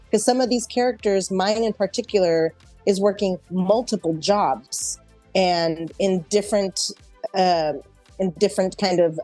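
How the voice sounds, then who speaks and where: American woman, medium pitch, reflective explanatory manner, casual interview talk, dry sound, faint music underneath. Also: darkish tone, no echo. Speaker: interview guest, actor and improv performer.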